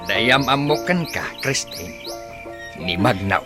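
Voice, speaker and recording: Guy doing a greedy bossy dad in an ilocano radio drama, pitch jumping all over. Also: animated, slow pace, loud music behind the voice. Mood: coaxing. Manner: bossy, insistent, curt. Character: domineering, greedy father, a gambler.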